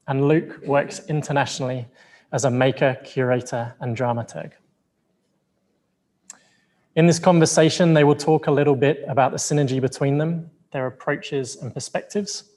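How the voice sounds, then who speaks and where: A man reads a formal introduction at a middle pitch, measured and steady, before handing over to the speakers. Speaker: event presenter introducing speakers. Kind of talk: read introduction.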